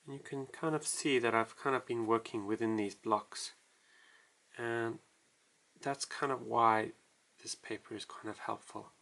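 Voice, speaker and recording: A man casually walking through a writing tutorial, explaining in a halting, self-deprecating way as he writes. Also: mid-range voice, steady tone, vocal fry, sharp tone. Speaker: language tutorial host.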